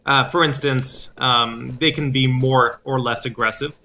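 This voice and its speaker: A man in his thirties hosting a game walkthrough, explaining things in a halting way. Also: mid-range voice, fairly even intonation, bright, forceful tone, slight background noise. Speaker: video series host. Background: game music.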